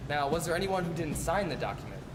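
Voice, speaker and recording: Man playing a fast-talking casual field reporter, loud music. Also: a little high, big pitch swings. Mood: excited, pushy. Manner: slangy, informal. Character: young male news field reporter, eager, casual, pushy. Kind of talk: mock live news report and interview.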